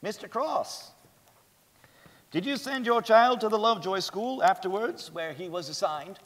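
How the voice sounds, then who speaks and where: A man's voice: high-pitched, expressive, unhurried, a bit bright. A man playing a skeptical lawyer pressing witnesses in a staged period courtroom drama. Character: pressing, skeptical cross-examining lawyer in an 1890s trial. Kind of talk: staged dramatic reading of a trial. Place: large theater stage, indoors. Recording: hall reverb from a theater stage.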